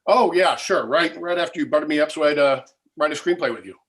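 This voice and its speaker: A man's voice: on the high side, a little fast, close to an everyday voice. A man playing an uneasy, easily offended screenwriter of about forty, stammering awkwardly in a comedy table read.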